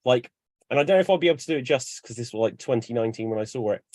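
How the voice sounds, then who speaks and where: A young man chatting on a panel, telling lively stories and acting out bits as he goes. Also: medium pitch, rapid-fire, dry recording, studio quality. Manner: animated storyteller, acts out bits. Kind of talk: casual chat.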